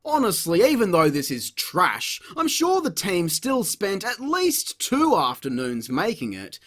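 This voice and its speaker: A man's voice: sits high, huge pitch swings, a little slow, fairly bright tone. A man hosting a comedic video review, narrating a script with sweary, exasperated sarcasm. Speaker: host of a video review show.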